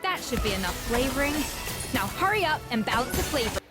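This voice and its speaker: No-nonsense young kitchen gal in a game, highish voice, music really loud. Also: female voice, very animated, fairly quick. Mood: brisk. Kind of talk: video game voice acting.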